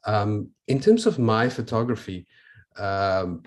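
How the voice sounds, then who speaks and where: A South African man whose first language is Afrikaans, explaining his work in an informal, measured presentation with frequent pauses. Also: lowish voice, expressive. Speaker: photographer presenting his photobook. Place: online video call.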